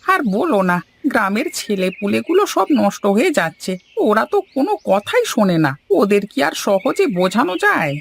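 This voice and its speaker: A man's voice: high-pitched, very animated, normal speed, vocal fry, full character voice. A man voicing an eager, simple, gossipy village tea seller in a cartoon. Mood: complaining, puzzled. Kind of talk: cartoon dialogue acting.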